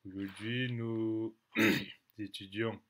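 A man in his thirties with a cold gives a Bible teaching to a webcam from a small room at home. He speaks unhurriedly and a little low, pausing often and repeating phrases for emphasis. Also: little pitch movement, a bit bright, slight background noise. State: has a cold, clears throat. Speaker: preacher.

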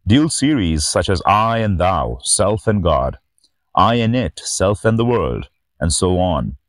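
A middle-aged man reading and commenting on scripture as a spiritual teacher, in a measured, reverent manner. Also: low-pitched, very animated, no echo, clean studio sound. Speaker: spiritual teacher and channel host. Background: background music.

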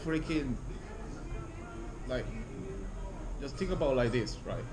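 A man's voice: medium pitch, lively intonation, slow, music in the background. A man in casual, slangy one-sided phone chat, saying "man" and "bro" often, out on a café terrace. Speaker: prankster. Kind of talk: staged phone call in public, casual chat. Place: covered outdoor café terrace.

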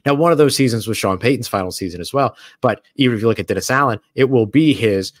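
A man's voice: medium pitch, quick pace, dry recording, studio quality. A man co-hosting a sports podcast, explaining his points earnestly and step by step. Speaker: podcast co-host. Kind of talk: sports analysis chat. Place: hotel or studio room away from home.

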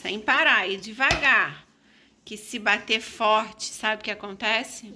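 A woman's voice: medium pitch, slow pace, background noise. A mother in her thirties with a Brazilian Portuguese accent, patiently coaching her kids step by step through a recipe in a home kitchen. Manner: patient, coaxing, explains step by step, teasing. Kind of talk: casual family cooking video.

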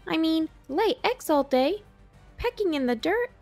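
A woman voicing an excitable young male chick who adores his hero, in a storybook reading with eager exclamations and questions. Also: very high voice, measured pace, faint music behind, voice pushed up, full character voice. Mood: admiring, earnest.

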